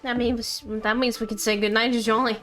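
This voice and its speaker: High-voiced playful woman streamer over music. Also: flat delivery, a little fast, fairly bright tone. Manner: playful, rambling, talks to viewers and herself. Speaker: game streamer. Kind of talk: casual livestream chat while playing a game. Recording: background music behind voice. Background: game music.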